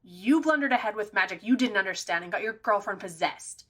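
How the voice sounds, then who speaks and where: An actress playing a blunt, exasperated supernatural sidekick in an audio drama, scolding and sardonic. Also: female voice, mid-range voice, lively intonation, fairly quick, a bit bright.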